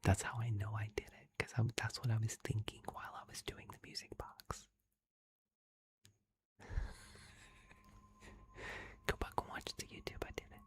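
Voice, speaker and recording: A livestream host chatting in a soft, giggly ASMR whisper, thanking viewers. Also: mid-range voice, flat delivery, a little slow, pauses often.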